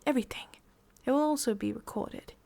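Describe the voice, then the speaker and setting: A woman with an English accent playing a menacing, mockingly tender female boss of demons in an ASMR roleplay, breathy and often whispering, with a taunting, condescending manner. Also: high voice, warm tone. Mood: sadistic, threatening. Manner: slow, taunting, condescending, with pauses and sighs.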